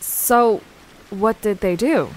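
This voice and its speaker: A woman's voice: mid-range voice, slow, slight background noise, lightly acted, poor audio. A woman playing an anxious, impatient but practical young adventurer in naturalistic audio drama, hesitant and exasperated. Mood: uneasy. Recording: music under the voice.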